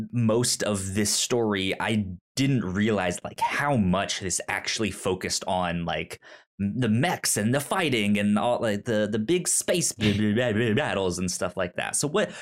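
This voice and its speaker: A man hosting a podcast, talking casually about books in a halting way with repeated word starts. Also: lowish voice. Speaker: podcast host. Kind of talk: casual book discussion.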